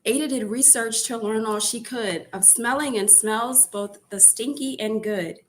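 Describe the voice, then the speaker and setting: A woman reads a children's bedtime story in a fairly high voice. Her delivery is slow and expressive, shaped for young listeners, and she leans on repeated phrases. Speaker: storyteller reading a children's picture book. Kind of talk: read-aloud bedtime story narration.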